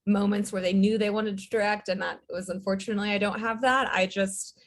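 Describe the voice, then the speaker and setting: A woman in her thirties, a writer-director guest, chatting in a relaxed way with lots of "um"s. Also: medium pitch, fairly quick. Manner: relaxed, fillers, light laughs. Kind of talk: casual interview chat.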